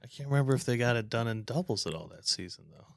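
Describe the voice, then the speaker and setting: A man's voice: medium pitch, animated, fast, vocal fry, no room sound, studio quality. A man co-hosting a sports podcast, bantering casually in conversation. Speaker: podcast co-host.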